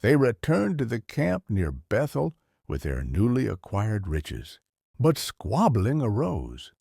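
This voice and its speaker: Husky guy narrator, solemn storytelling, slow and dry sounding. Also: lowish voice, wildly swooping pitch, dark tone, no echo.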